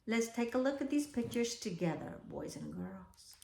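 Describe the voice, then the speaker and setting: A woman reading a children's picture book aloud expressively, often pausing to ask her young listeners questions. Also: on the high side, lively intonation, vocal fry, warm tone, slight background noise. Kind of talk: children's read-aloud, alternating slow reading with asides about the pictures. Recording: echoey, roomy sound.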